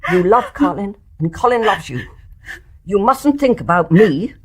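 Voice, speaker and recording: An older English mother in a radio drama, her husky voice grave and resolute. She speaks with a British accent in measured, refined phrases, at a middling pitch for a woman. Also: big pitch swings. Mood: firm, urgent.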